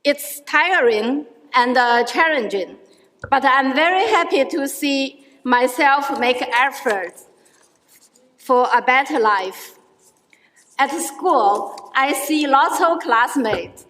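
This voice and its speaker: A middle-aged woman from China with a Chinese accent, a native Chinese speaker, giving a prepared speech at a rally in a halting, careful way with frequent restarts. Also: high-pitched, lively intonation, a bit bright. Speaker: adult education student speaking at a rally. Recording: echoey hall with long reverb.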